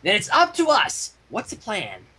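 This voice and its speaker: A man's voice: high voice, huge pitch swings, unhurried, bright, forceful tone, husky, voice pushed up, heavily acted, rough recording. A man voicing a brash, eager female pony daredevil in a cartoon story reading. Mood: determined. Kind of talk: fan fiction character reading.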